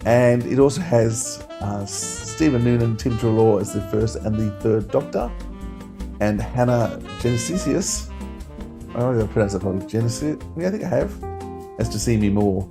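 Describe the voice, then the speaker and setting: A man's voice: medium pitch, lively intonation, moderate pace, gravelly. A man hosting a podcast, talking casually through a review. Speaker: podcast host. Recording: music playing behind.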